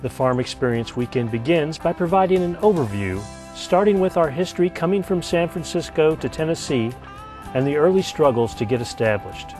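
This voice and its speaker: A man's voice: a little high, animated, brisk, darkish tone. A middle-aged man reading scripted promotional narration as a spokesman for a community. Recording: music behind the voice.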